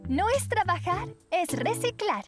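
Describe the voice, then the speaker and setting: An earnest teenage girl from a cartoon, talks in a very high voice. She sounds sincere and youthful. Music runs behind her. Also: expressive, measured pace. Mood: hopeful. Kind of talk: animated children's cartoon dub.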